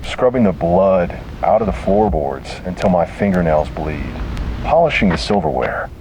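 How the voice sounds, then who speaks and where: A man narrating scripted first-person horror in a dramatic, deliberate and grim manner. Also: deep voice, very animated, a little mellow. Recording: noisy, hissy analog tape sound.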